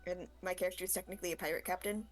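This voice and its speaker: A woman's voice: fairly low pitch, fairly even intonation, very fast, faint music behind. A woman guest on a game stream, chatting casually and somewhat hesitantly. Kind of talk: casual chat about gaming.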